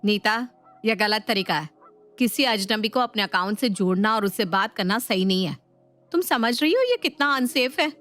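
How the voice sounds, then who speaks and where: A woman's voice: mid-range voice, animated, moderate pace, a bit bright, dry recording, close to an everyday voice. A woman voicing a bossy, overworked elder sister-in-law who scolds in colloquial speech in a family storybook. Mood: stern, hurried. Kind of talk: dramatised Hindi family storybook. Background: music.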